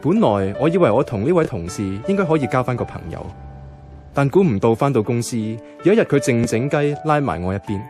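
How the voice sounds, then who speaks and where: A male actor plays a young Hong Kong office worker in a Cantonese radio drama. He is easygoing and bemused, with a middling-pitched voice and clear music behind him. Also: sing-song, fairly quick, natural voice. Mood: wry, reflective. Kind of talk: radio drama narration and dialogue.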